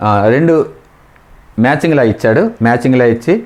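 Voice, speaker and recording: A man with a Telugu accent and a mid-pitched voice teaches in an informal coaching style. He explains exam questions step by step and repeats the key terms as he goes. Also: fast, a little mellow.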